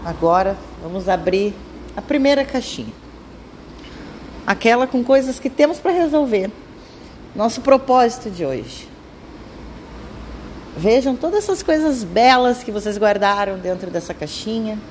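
A woman with a Brazilian accent leading a guided meditation in a calm, soothing, instructing manner with drawn-out phrases. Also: fairly high pitch, slight background noise, poor audio.

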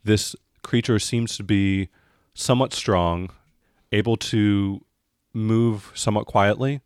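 Male game master, lower register, improvised and halting narration, very dry sound. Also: clear, resonant tone, no room sound. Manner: halting, searching for words.

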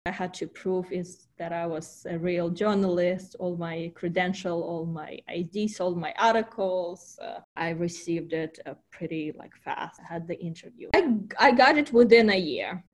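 A woman with a Ukrainian accent, a nurse telling her immigration story in an earnest, reflective way with small restarts. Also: fairly low pitch. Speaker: nurse, interviewee. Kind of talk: personal storytelling interview.

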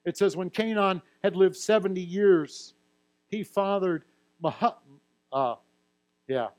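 A man with a somewhat high-pitched voice gives a teaching sermon. He reads long lists of names and ages from scripture, then explains them to the congregation in a plain, friendly way with occasional light humor. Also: animated, takes it slowly, a little mellow. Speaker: pastor.

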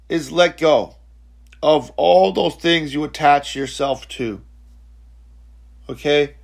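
A man in his forties giving a slow, reflective spiritual teaching talk, halting and repeating words, often checking in with "okay?". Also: fairly high pitch, creaky. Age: 40s. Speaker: spiritual speaker.

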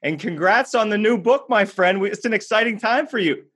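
A man's voice: sits high, fairly quick, a bit bright. A man hosting a podcast, introducing his guest in an upbeat, effusive way. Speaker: podcast host.

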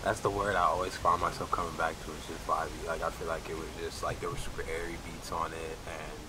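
Deep, monotone male co-host, easygoing music chat with faint noise behind him. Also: quick pace. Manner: easygoing, hesitant with "um". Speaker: podcast co-host. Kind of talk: casual music podcast chat.

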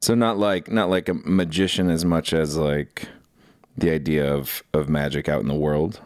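A man hosting a podcast, reading a scripted introduction and then asking questions in a relaxed, friendly way. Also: a bass voice, normal speed. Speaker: podcast host.

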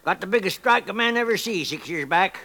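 An actor playing a toothless, stubbornly hopeful old prospector in a 1950s radio western, gravelly and rambling in a folksy American frontier drawl. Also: male voice, high-pitched, lively intonation, a little fast, bright, forceful tone, exaggerated voice. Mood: boastful, insistent. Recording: noisy 1950s radio recording.

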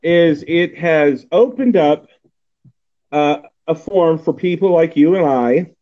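A man in his forties from Texas, talking in a loose, rambling way full of fillers and restarts. His voice sits a bit high for a man and comes through a thin, tinny line like a phone call. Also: slow. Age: 40s. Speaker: podcast host. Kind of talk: casual chat.

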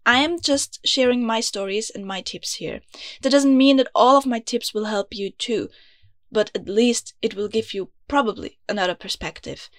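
A young woman from Austria with an Austrian accent, a native German speaker, hosting her own podcast in an earnest, confiding way and sometimes dropping into soft, low tones. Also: normal speed, no echo, studio quality. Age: young adult. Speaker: podcast host. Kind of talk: scripted podcast monologue. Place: small closet.

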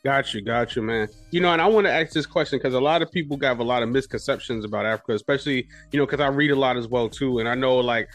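A man's voice: medium pitch, fast, hardly any pauses, a bit bright, no echo, soft background music. A man hosting a podcast, talking in a hyped, slangy, repetitive way in casual interview chat. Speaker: podcast host. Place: New York City radio studio.

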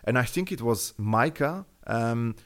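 A man's voice: mid-range voice, animated, measured pace, fairly bright tone. A man from Germany with a German accent, speaking as a podcast guest in a casual interview, rambling with many fillers and restarts.

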